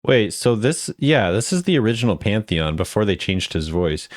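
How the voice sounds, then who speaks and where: Low-voiced man, lively casual commentary. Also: moderate pace, dry recording, studio quality. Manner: repeats phrases for emphasis. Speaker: video creator ranking game voice lines.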